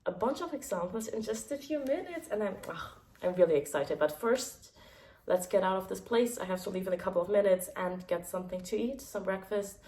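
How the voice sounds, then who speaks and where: A young woman talking casually to camera as a vlogger, her voice hoarse because she is a little sick, chatty and full of "like" fillers. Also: medium pitch, lively intonation, brisk, darkish tone. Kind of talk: casual vlog talk to camera.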